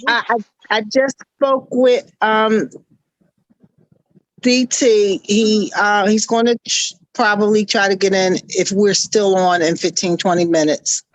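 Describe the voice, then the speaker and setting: A woman's voice: medium pitch, steady tone, slow, dry recording, low-quality recording. A woman in her fifties chatting casually as a talk show co-host. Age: 50s. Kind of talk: casual chat, asking questions.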